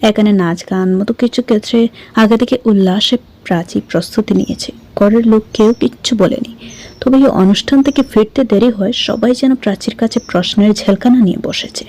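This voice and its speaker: A woman's voice: medium pitch, moderate pace, mellow. A woman reading a scripted short story as an audiobook narrator.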